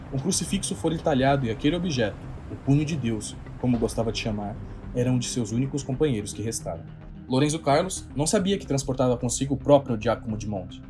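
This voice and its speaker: A man's voice: mid-range voice, warm tone. A man with a Brazilian accent reading scripted literary narration for an audio drama. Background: music.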